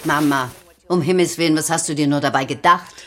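A woman's voice: sits low, a little fast, fairly bright tone. An actress playing an exasperated, scolding, practical mother in a German children's radio play.

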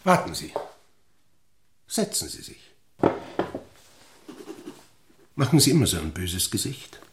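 A man's voice: low-pitched, very animated, normal speed, heavy background noise. A middle-aged man playing a lonely, patronising boss with insinuating manner in a German radio play. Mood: brusque, insinuating.

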